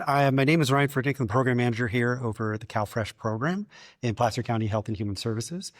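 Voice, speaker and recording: Loud-voiced man giving a talk. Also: medium pitch, dry recording, clean studio sound. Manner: explanatory, self-described loud voice. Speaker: program manager. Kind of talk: public presentation. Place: community meeting room.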